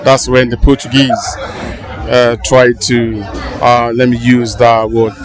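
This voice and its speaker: Man, halting, searching for words. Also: medium pitch, level tone. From Angola. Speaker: interviewee, funeral visitor. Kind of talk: casual street interview. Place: outdoors at a crowded palace funeral. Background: crowd murmur.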